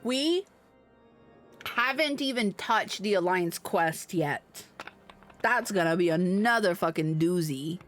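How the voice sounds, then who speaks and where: A woman's voice: lowish voice, expressive, slow pace, soft background music. A woman livestreaming a game with casual, sweary, muttered commentary. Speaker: livestreamer playing an online fantasy game. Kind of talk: casual gaming commentary and reading game dialogue aloud.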